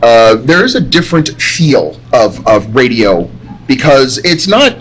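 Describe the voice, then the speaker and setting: A man's voice: mid-range voice, very animated, measured pace, husky, poor audio. A man chatting casually as a podcast panelist, recorded live at a convention. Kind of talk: casual chat.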